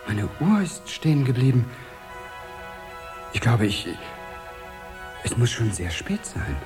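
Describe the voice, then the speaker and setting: Radio drama: anxious, apologetic male clerk, hesitant delivery, very lively pitch. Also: takes it slowly, over music. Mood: regretful. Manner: hesitant, polite, stammering apologies. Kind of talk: 1970s German-language radio drama acting.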